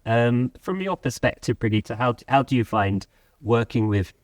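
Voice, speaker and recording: A man's voice: medium pitch, lively intonation, normal speed, clean studio sound. Guy hosting a chill office chat, medium voice, restarts a lot, no room echo. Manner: friendly, loose questions with restarts. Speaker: host. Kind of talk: casual interview.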